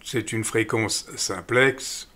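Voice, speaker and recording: A middle-aged man calmly walking viewers through an app step by step, with frequent fillers. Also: on the low side, slow. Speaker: radio equipment channel host. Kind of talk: screen-recorded app tutorial voice-over.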